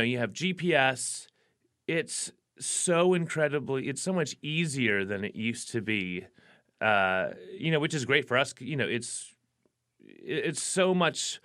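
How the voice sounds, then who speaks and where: A man in his forties with a bright, forceful voice talks about life as a working musician. He rambles in a relaxed way, with many fillers and restarts. The pitch sits in the middle. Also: slow. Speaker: touring musician guest. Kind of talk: casual interview talk.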